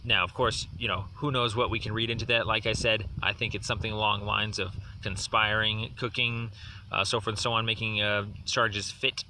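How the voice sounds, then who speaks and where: A man rants into a voicemail, aggrieved and insistent, circling back and repeating his points. His voice is very bright and sharp, set back from the phone's mic. A faint hiss sits under the recording. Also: on the low side, little pitch movement, brisk, distant mic. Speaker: caller leaving a voicemail. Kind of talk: rambling voicemail complaint.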